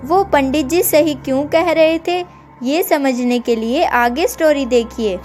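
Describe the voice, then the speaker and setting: A woman tells a scripted story for children in a slightly high voice. She addresses listeners directly as she narrates, with music underneath. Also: measured pace, darkish tone. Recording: music behind the voice.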